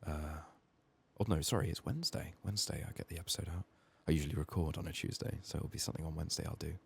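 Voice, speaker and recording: A soft-spoken man with a sick, strained voice, muttering downbeat, sweary ASMR commentary as he plays a video game. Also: extremely low pitch, racing, vocal fry, slightly rough.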